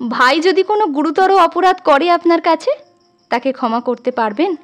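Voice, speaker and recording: Troubled young brother figure from a literary tale, emotional and earnest, high voice, music bed voiced by a woman. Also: moderate pace. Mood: insistent, troubled.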